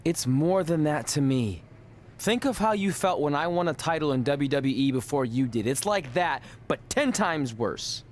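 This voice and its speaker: A man's voice: fairly high pitch, expressive, normal speed, lightly acted. A man playing a cocky yet insecure underdog wrestler in a video game, talking in a casual, wisecracking way over background noise. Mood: sulky. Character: cocky but insecure young male wrestler, the underdog hero.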